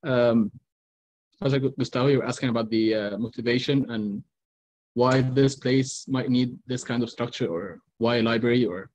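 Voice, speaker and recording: A man's voice: medium pitch, moderate pace, darkish tone. A man from Egypt, a student answering critics at his review, speaking hesitantly and repeating words. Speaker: student presenting a project. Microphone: video call. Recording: muffled video call audio.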